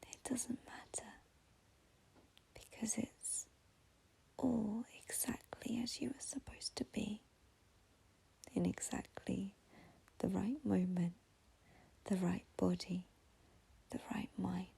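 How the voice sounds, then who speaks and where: A woman whispering a slow, soothing guided meditation as its teacher. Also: mid-range voice, steady tone, vocal fry, warm tone, slightly rough.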